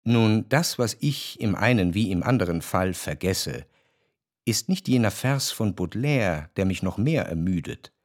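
An actor playing a reflective, cultured man who recalls his past in a literary radio drama, with measured, precise diction. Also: lowish voice, lively intonation. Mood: pensive. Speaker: narrator.

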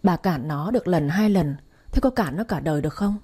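Một người phụ nữ đọc lời một ông bố lớn tuổi, nói giọng nghiêm khắc, dứt khoát. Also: female voice, lowish voice, animated, a little fast, mellow, breathy edge. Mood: stern. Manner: stern, clipped. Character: stern older father, weary and firm.

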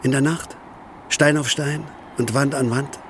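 An actor playing a weary, bitter, hard-drinking East German building worker, declaiming poetically in a theatrical radio play. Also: male voice, medium pitch, steady tone, measured pace, a little hiss or hum, lightly acted. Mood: brooding.

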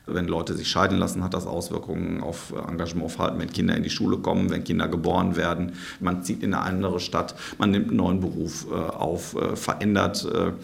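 A man's voice: low voice, little pitch movement, brisk, gravelly, hardly any pauses. A man, a university researcher, explaining things methodically in an expert interview.

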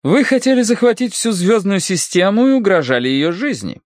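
Man doing a stern, high-pitched proper lady. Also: lively intonation, moderate pace, no echo, clean studio sound. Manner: formal, severe. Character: stern, proper grown woman scolding in a space adventure.

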